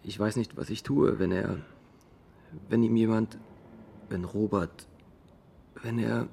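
An actor playing a troubled, self-reproaching father in a naturalistic radio drama monologue, speaking in a halting, brooding way. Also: fairly low pitch, steady tone, creaky, warm tone, a little hiss or hum.

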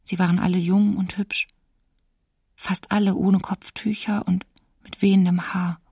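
An actress playing a diarist under occupation, reading entries in a hushed, subdued way for a documentary radio drama, slightly off the mic. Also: female voice, medium pitch, level tone, measured pace, creaky, slightly rough, no room sound, studio quality, far from the mic. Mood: hushed, shaken. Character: woman diarist soberly witnessing occupation terror.

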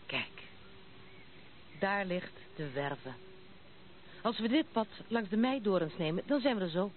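A woman's voice: medium pitch, very animated. Headstrong young noblewoman, tinny old broadcast. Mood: brisk, self-assured. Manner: brisk, self-assured, outspoken. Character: headstrong, unconventional young noblewoman, blunt and proud. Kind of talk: Dutch radio play acting. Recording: muffled narrow-band old radio recording, noisy.

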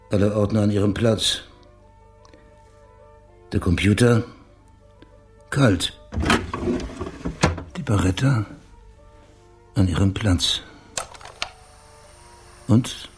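A man's voice: on the low side, darkish tone. An actor playing a wry, laconic private investigator and former policeman in a radio crime drama. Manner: dry, laconic, understated. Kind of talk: radio crime drama acting with narrated inner monologue.

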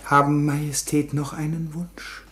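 An actor playing a deferential old valet to a Roman emperor in a radio play, servile, formal and plaintive. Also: male voice, on the high side, little pitch movement, a little slow, a bit bright. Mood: anxious, dutiful. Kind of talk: theatrical radio play dialogue.

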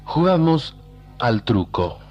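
An actor playing a weary, enigmatic time traveler, telling his story gravely and measuredly in a radio drama. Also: mid-range voice, sing-song, slow, dull audio, soft background music. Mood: haunted, hushed.

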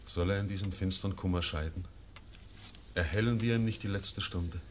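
A man's voice: deep voice, a little hiss or hum, natural voice. A man playing a solemn, consoling companion. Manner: consoling, measured verse.

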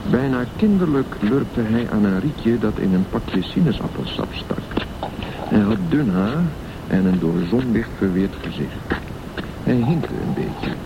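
A man with a low voice tells a story as narrator of a radio play. His delivery is measured and literary, on a dull recording with clear noise behind it. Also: sing-song.

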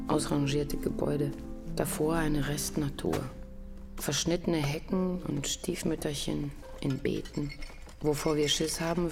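An actress playing a sardonic, deadpan narrator in a radio drama, speaking in terse literary phrases. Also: female voice, low voice, vocal fry.

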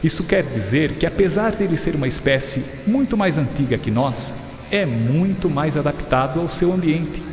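Man acting as echoey Darwin, patient gentleman naturalist. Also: on the high side, expressive, lightly acted. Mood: patiently explaining. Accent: Brazilian. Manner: didactic, measured explaining. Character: Charles Darwin, learned gentleman naturalist patiently explaining evolution. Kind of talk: radio drama acting for young listeners. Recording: echoey, long hall reverb.

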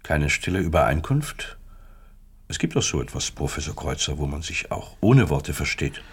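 State security interrogator, low-pitched, rough-edged, insistent, sardonic, coldly probing, radio drama. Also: male voice, big pitch swings, normal speed, heavily acted. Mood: probing, accusing. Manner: pressing, leading questions, sardonic.

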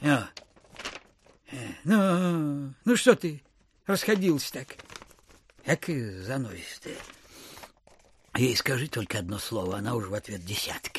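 An actor playing a miserly, suspicious old landowner in an audio drama, scolding, grumbling and muttering. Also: male voice, medium pitch, a little slow, pauses often, slight background noise, natural voice. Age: older.

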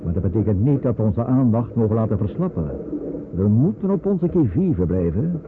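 An actor playing a calm, reassuring wartime resistance escort in a Dutch radio play, speaking in a measured, instructive way. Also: male voice, lowish voice, a crowd in the background. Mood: earnest, steady. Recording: muffled, noisy old radio play recording.

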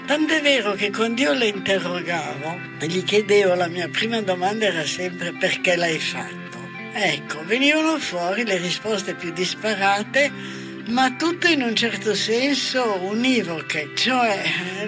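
An older woman commenting reflectively as a researcher, emphatic and repeating key words. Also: medium pitch. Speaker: researcher who interviewed women. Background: music.

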